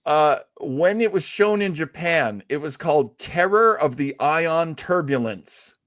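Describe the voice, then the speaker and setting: A man with a slightly high voice talks casually as a podcast co-host. The sound is dry, with very little room in it, and the delivery is informal fan chat. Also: lively intonation, a little slow, a bit bright, no echo.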